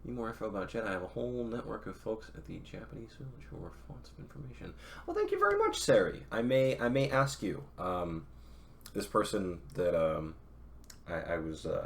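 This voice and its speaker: A young man with a lowish voice reads an old novel aloud at an easy pace. He keeps stopping to chat with his viewers, often correcting himself mid-phrase. A faint hiss sits under the voice. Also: sing-song. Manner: unhurried reading broken by chatty, self-correcting asides. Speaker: streamer reading a novel aloud. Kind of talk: reading a classic novel aloud with casual asides to chat.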